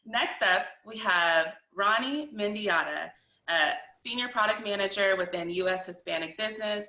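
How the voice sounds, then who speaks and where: A woman hosts a panel conversationally as moderator. Her voice is bright and gravelly with fry, and it sounds roomy and echoey. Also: mid-range voice, moderate pace. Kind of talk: conversational hosting of a virtual panel. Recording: very echoey room.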